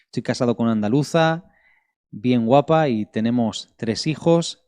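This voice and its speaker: A middle-aged man from Barcelona with a Spanish accent from Spain, preaching as a pastor to an online congregation in an earnest, measured way with pauses for emphasis. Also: mid-range voice, darkish tone, clear, resonant tone. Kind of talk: online sermon with prayer and scripture reading.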